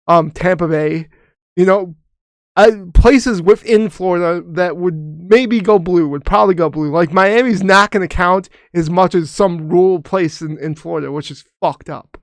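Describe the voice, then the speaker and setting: A young man co-hosting a casual podcast, talking earnestly and halting with restarts. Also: high-pitched, no room sound, clean studio sound. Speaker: podcast co-host. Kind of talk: casual chat.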